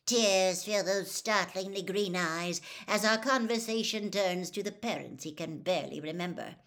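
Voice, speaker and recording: A woman voicing a pushy, gossip-hungry female journalist who fires brisk, probing questions in an audiobook. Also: mid-range voice, a bit bright, close to an everyday voice. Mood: gushing. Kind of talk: audiobook character voice.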